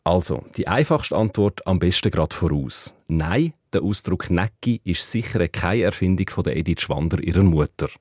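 A man with a Zurich Swiss German accent, a dialect expert explaining a word's meaning in a measured radio segment. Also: deep voice, expressive, a little mellow, breathy edge, no room sound, studio quality. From Zurich, Switzerland.

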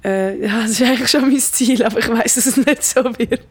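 A woman's voice: fairly high pitch, fairly quick, husky. A teenage girl chatting casually in a youthful, slangy way. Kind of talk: casual interview chat. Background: guitar music.

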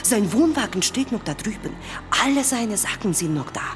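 A woman playing a morbid circus crocodile tamer in a radio play, telling things dramatically with dark deadpan asides. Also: medium pitch, normal speed, music in the background, close to an everyday voice. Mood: dramatic, mysterious. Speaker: actress.

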